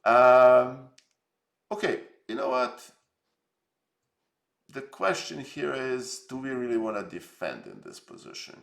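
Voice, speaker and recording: Serbian-born male streamer, gravelly low tone, hesitant and jokey, roomy echo. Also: very animated, slow, pauses often, fairly bright tone. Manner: rambling, hesitant, filler-heavy, jokey. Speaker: streamer host. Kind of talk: casual live stream chat.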